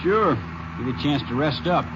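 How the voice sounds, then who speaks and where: A man's voice: a little high, animated, fairly quick, dull audio, natural voice. Man acting laconic drifter, noisy old radio. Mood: laconic, folksy. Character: menacing male hitchhiker turned armed robber, rustic Texan. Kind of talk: 1950s radio drama acting. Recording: noisy old radio recording, car motor effects.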